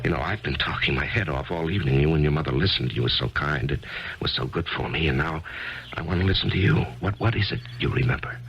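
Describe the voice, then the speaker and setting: An actor playing a grieving, widowed author who narrates and talks in a reflective, halting way, in a 1970s radio drama. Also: male voice, very deep voice, creaky, noisy background. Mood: eager. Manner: reflective, halting, stammers when eager. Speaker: narrator and lead character. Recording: dull lo-fi archival radio with faint music.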